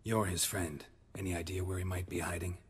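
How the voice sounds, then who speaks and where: Game role: stoic middle-aged monster hunter, gravelly bass register, clipped dry delivery. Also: male voice, fairly quick. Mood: businesslike. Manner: terse, clipped, deadpan. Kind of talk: video game cutscene dialogue.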